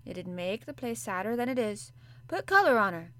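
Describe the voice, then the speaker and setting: A woman's voice: mid-range voice, big pitch swings, moderate pace, a bit bright. Woman doing a Yorkshire housemaid. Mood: chatty. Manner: broad dialect, plain-spoken and homely. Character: blunt, good-natured young Yorkshire housemaid.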